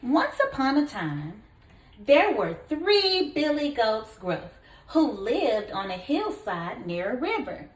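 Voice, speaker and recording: Slow, animated woman reading to children. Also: fairly high pitch. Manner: expressive, deliberate, prompting listeners with questions. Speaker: kindergarten teacher. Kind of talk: read-aloud storytelling for young children. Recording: echoey room.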